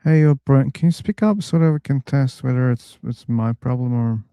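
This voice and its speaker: A man hosting a casual live online discussion room, chatty and quick to laugh. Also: mid-range voice, clear, resonant tone, no room sound. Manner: relaxed, chatty, laughs easily. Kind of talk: casual live-stream chat.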